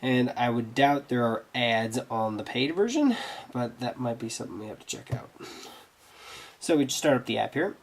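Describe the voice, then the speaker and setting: A man's voice: medium pitch, animated, moderate pace. Congested man giving a casual app review. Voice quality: stuffed-up, nasal. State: congested, getting over a cold. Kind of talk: casual review commentary over a gameplay demo.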